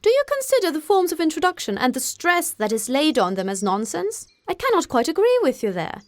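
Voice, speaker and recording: Audiobook father role, woman performing: middle-aged, dry wit, teasing, measured, mock-grave, never flustered. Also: high voice, big pitch swings, no room sound, voice pushed up. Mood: wry, sly. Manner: wry, measured, mock-solemn. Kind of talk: audiobook character acting.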